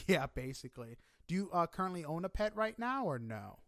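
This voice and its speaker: Male podcast host, fairly high voice, dry close sound, chatty, effusive, informal. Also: moderate pace, dry recording. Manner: effusive, informal, animated reactions. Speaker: podcast interviewer. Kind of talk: casual, chatty interview.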